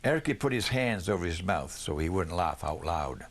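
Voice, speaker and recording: A man's voice: deep voice, lively intonation, moderate pace. A man narrating a children's story aloud from a script, with the odd small stumble over the words. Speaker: audiobook reader.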